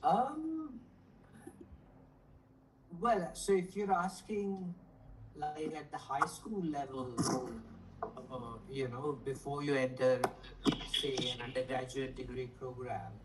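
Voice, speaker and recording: A man in his forties, an economics professor, answering interview questions thoughtfully over a video call with frequent pauses and fillers. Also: mid-range voice. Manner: thoughtful, hesitant with many fillers. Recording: distant from the mic, faint noise.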